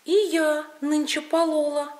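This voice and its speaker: High-voiced village beauty read by a woman. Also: little pitch movement, measured pace, darkish tone, roomy sound. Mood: earnest. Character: idle young village beauty turning hardworking.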